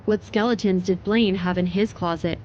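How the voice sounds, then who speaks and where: A woman's voice: on the low side, steady tone, normal speed, a little mellow, muffled recording, slight background noise. A woman giving scripted, dramatic narration of a story.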